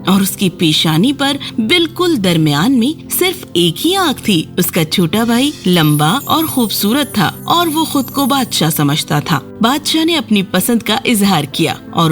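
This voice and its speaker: A woman's voice: on the high side, big pitch swings, faint music behind. A woman reading a scripted fairy tale for children as the storyteller.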